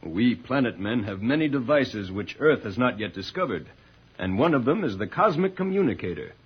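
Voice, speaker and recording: A commanding, courteous spaceman from another world, declaiming in a formal 1950s radio-serial style. His voice is slightly husky at a medium pitch, on a dull, muffled old recording. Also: big pitch swings, normal speed. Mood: calm, courteous. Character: noble, commanding alien spaceman hero, calm and courteous.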